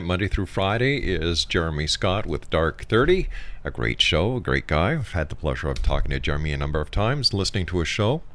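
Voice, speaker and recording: A man's voice: on the low side, a bit bright. A man presenting a radio talk show with an emphatic announcer's delivery in short phrases.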